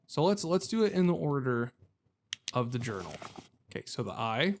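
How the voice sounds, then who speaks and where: A man's voice: mid-range voice, very animated, moderate pace. Wry man doing casual gaming commentary. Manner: wry, jokey, thinking aloud. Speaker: gaming video host. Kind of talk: casual let's-play commentary. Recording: game ambience and noise behind voice.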